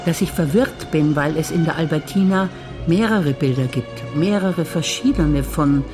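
A female stage actor reciting personal memories in a calm, reflective way, over music. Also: deep voice, unhurried.

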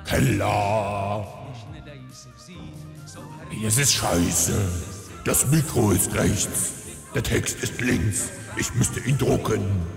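A man reading haltingly in a parody audio drama, with echoing reverb. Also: fairly low pitch, creaky, heavily acted. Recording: echoey hall reverb, faint music.

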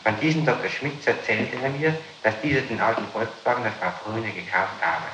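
Low-voiced man on a noisy old interrogation tape. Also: a bit bright, heavy reverb. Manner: halting, formal officialese. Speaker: police interrogator. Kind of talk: police interrogation, reading out a witness statement. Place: reverberant room indoors.

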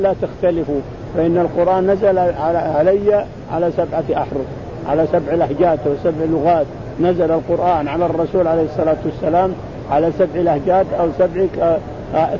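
A man's voice: steady tone, fast. A man teaching a religious text, explaining it in spoken commentary and repeating phrases as he works through each point. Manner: repeats phrases, restarts words, acts out quoted exchanges. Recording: muffled recording with loud rumbling noise.

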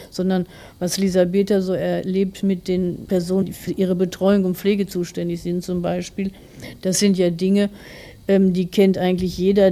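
A woman's voice: lowish voice, dark tone. A woman of forty, an author answering interview questions in a reflective, careful, explanatory way.

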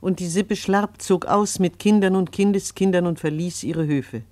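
A woman's voice: on the low side, normal speed, darkish tone, dry recording. A woman narrating a radio drama in a solemn, scripture-like recitation.

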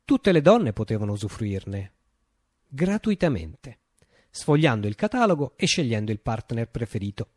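A visionary male storyteller, telling of a utopian revolution as a radio monologue. His mid-pitched voice swings expressively up and down, with deliberate, declamatory phrasing. The sound is dry and without room echo. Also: very animated, a little mellow. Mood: measured, wry. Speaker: actor performing a monologue.

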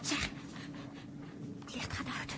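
An actress playing an anxious young woman astronaut in a 1960s radio drama, breathless and hurried. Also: lightly acted. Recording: noisy old radio tape.

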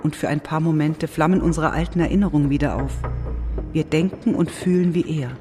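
A man playing a weary, coaxing dweller of the underworld in a German radio play, measured and knowing. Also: a little high, fairly even intonation, mellow, a little hiss or hum, natural voice. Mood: wistful, explaining.

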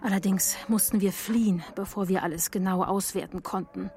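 An actress playing an earnest, matter-of-fact researcher in a German radio play, explaining clearly. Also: female voice, mid-range voice, fairly even intonation, brisk, darkish tone, close to an everyday voice.

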